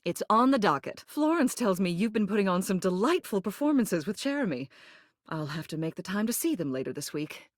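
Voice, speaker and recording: A woman's voice: mid-range voice, sing-song, a little fast, no room sound, clean studio sound. A woman voicing a gracious, commanding older lady mayor in a video game, with a genteel, theatrical manner and fond, polished phrasing. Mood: gracious, brisk. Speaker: mayor and homeowners' association president. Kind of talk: scripted video game character dialogue.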